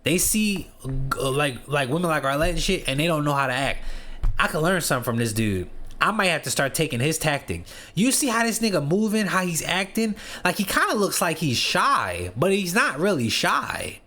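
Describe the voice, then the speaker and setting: A man giving loud, mocking, slangy running commentary as he reacts to a dating show. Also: on the high side, sing-song, normal speed. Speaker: reaction video host.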